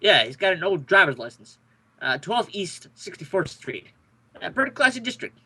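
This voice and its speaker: A man's voice: high-pitched, sing-song, normal speed, sharp tone, husky, exaggerated voice, low-quality recording. A man playing an exasperated, grumbling police lieutenant, sarcastic and blustery, in an old-time radio detective drama. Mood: businesslike.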